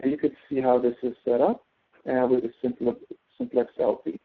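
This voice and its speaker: A man's voice: medium pitch, sing-song, normal speed. A man tutoring step by step through a spreadsheet task, explaining and often checking that the listener follows. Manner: explanatory, often checks understanding with tag questions. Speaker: instructor, tutor. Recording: narrow tinny phone-like line.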